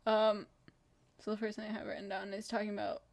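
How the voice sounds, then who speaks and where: A woman's voice: mid-range voice, flat delivery, fast, darkish tone. A young woman in her twenties who grew up in Kenya, hosting a casual chat podcast and rambling with lots of fillers and giggles. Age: 20s. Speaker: podcast host.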